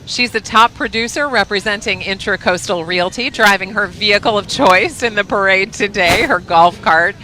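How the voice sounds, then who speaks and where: A co-commentator at an outdoor parade, reading sponsor blurbs in a friendly, steady way. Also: medium pitch, normal speed, few pauses, low-quality recording.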